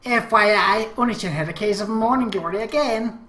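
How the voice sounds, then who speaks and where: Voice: mid-range voice, brisk, bright, forceful tone, pitched above the performer's own voice, lightly acted. A game commentator voicing a jealous, scolding elder sister from an anime visual novel, snippy and taunting. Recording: echoey room.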